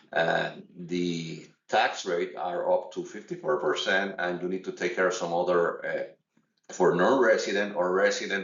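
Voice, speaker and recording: A middle-aged or older man from Puerto Rico with a Puerto Rican Spanish accent, a native Spanish speaker presenting an instructional webinar in a halting, stumbling delivery. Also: low-pitched, fairly bright tone. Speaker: tax accountant presenting a webinar. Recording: distant, roomy, dull lo-fi microphone.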